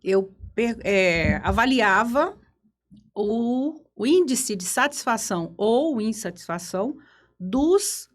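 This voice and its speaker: A woman's voice: on the high side, expressive, takes it slowly. An older woman from Minas Gerais with a Brazilian accent, telling stories from her life casually as a guest. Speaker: podcast guest. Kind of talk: casual storytelling about own life.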